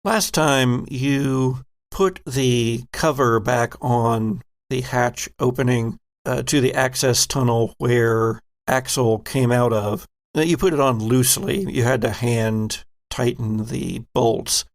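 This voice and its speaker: A man narrating a tabletop horror game as game master, slow and measured. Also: mid-range voice, dry recording, clean studio sound.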